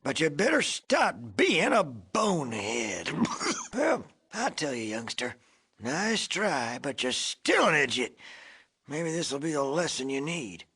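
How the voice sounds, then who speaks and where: An actor voicing a cranky, joking old male ghoul in a video game, with a wheezy, cracked rasp and a folksy drawl. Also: a little high, sing-song, takes it slowly, bright, forceful tone, heavily acted. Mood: needling, cranky. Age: older. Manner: folksy drawl, wisecracking, wheezy cackles and coughs. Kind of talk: video game character acting.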